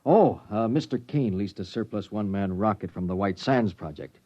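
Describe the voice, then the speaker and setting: An actor playing an earnest, excitable American engineer with a hesitant, technical way of talking, in 1950s radio drama style. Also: male voice, on the low side, moderate pace, dry recording. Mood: eager, explaining. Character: earnest, excitable male engineer awed by discovery. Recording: dull, dry vintage radio recording.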